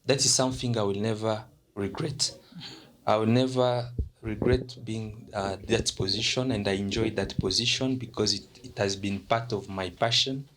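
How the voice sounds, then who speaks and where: A young man from DR Congo answers interview questions about his university, in a voice that sits a little low. He hesitates a lot, filling gaps and starting his phrases over. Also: steady tone, moderate pace, background noise. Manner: hesitant, many fillers and restarts. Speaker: international student representative.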